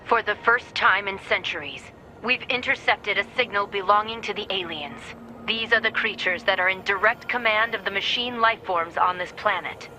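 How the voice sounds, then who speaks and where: A woman's voice: medium pitch, normal speed, bright, forceful tone, a little hiss or hum, rough recording. A woman playing a stern, authoritative military commander in a video game, delivering a formal address to troops. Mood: grave, resolute.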